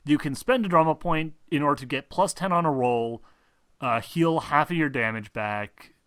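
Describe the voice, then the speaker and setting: A man's voice: on the high side, moderate pace, fairly bright tone, clear, resonant tone, no room sound. A man acting as game master, narrating and explaining rules in a casual, rambling, informal way.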